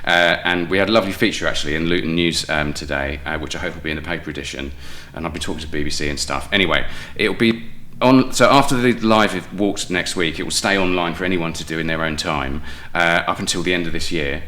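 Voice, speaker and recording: A man's voice: deep voice, steady tone, fast, hardly any pauses, pushed and bright. A middle-aged man, explaining things chattily as a guest in a casual interview. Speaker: guest, playwright and project founder.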